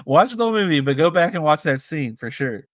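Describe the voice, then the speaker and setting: A man with a slightly high voice co-hosts a casual movie review show. His delivery is conversational, and the recording is dry with very little room sound. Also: very animated, brisk, fairly bright tone, no echo. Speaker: podcast co-host. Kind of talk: casual film review chat.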